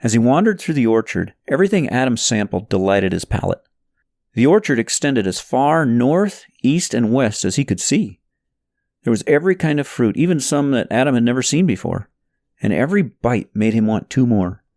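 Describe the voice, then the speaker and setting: Male audiobook narrator for a parable novel, mid-pitched voice, expressive dramatic storytelling, very dry close sound. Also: normal speed, no room sound.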